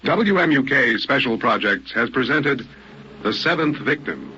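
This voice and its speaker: A male radio announcer with a mid-pitched, bright and forceful voice. He reads formally and deliberately, in scripted broadcast style. The recording is slightly dull with faint hiss. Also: lively intonation.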